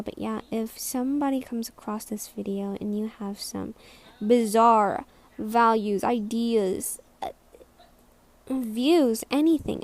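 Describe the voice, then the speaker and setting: A young woman with a squeaky voice rambling in a casual vlog, hesitant and self-conscious, full of restarts and trailing words. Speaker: vlogger.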